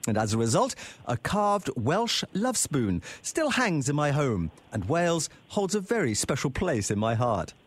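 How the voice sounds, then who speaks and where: A man hosting a radio travel show, interviewing a guest in an expressive, warm way. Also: a little high, a little slow, breathy edge. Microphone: studio microphone.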